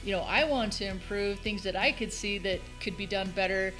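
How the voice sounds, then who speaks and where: A woman in her thirties answers viewer questions in a relaxed, chatty way. She sounds upbeat and mid-pitched, with faint music playing under her voice. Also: normal speed. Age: 30s. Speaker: game tutorial host.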